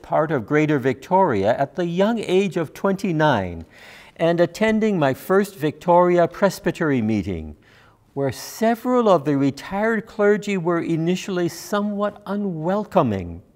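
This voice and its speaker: Older man preaching, higher-pitched voice. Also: sing-song, moderate pace, a little mellow. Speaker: minister. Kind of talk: sermon, measured delivery. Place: church sanctuary, at a pulpit.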